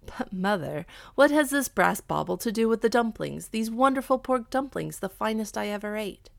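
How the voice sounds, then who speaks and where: A woman's voice: mid-range voice, animated, moderate pace, vocal fry, no echo, studio quality. A woman voicing a doubting, hungry young son in a storybook, puzzled and insistent.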